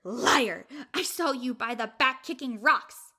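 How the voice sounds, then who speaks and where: A woman's voice: fairly high pitch, animated, takes it slowly, pushed and bright, heavily acted. A woman playing a brash, self-important young amateur video detective in an audio drama, bragging and accusing theatrically. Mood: accusing, menacing.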